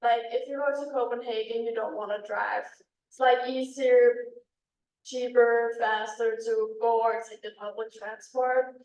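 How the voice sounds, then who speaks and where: A young Danish-accented woman with a slightly high voice talks casually, filling pauses with ums and likes. She sounds a little far from the mic, and the meeting room gives her voice a noticeable echo. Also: steady tone, a little mellow. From Denmark. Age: young adult. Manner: chatty with many ums and likes. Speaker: exchange student. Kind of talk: casual talk at a club meeting.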